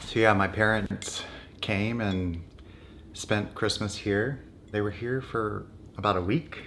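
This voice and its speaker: A man's voice: fairly low pitch, fairly even intonation, slow, fairly bright tone, a little hiss or hum. A North American man vlogging in a casual, candid and conversational way about his own life. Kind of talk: casual personal vlog talk.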